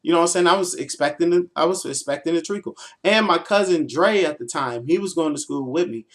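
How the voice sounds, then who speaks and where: A 24-year-old American man telling stories casually as a livestreamer, in a slangy, playful, animated way. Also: on the high side, brisk, noisy background. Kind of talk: casual, informal storytelling.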